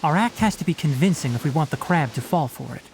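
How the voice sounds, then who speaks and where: A man voicing a composed, analytical young forest ranger in a video game, speaking in a measured, instructive way. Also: fairly high pitch, lively intonation, a little mellow. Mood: calm, businesslike. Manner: measured, matter-of-fact, instructive. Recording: music behind the voice.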